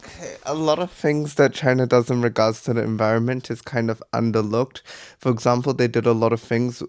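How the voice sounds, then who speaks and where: A man's voice: medium pitch, steady tone, a little fast, a little mellow, smooth. Hedging man in casual conversation. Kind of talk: casual chat among friends.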